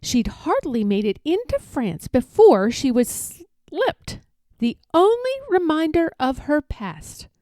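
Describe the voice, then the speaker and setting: Slow, lively woman reading a storybook. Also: high voice, no echo. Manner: deliberate, animated storytelling. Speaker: read-aloud storyteller. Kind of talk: expressive children's picture book narration.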